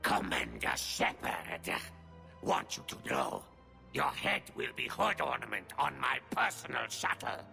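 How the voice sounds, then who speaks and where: A sly, ambitious alien gangster from a sci-fi game. The voice is raspy and fiercely sharp, leaping up and down. Words come slowly in clipped, menacing grammar, with faint music behind. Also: male voice, medium pitch, huge pitch swings, full character voice. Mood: gloating menace. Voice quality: gravelly, raspy, breathy at times. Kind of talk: video game acting.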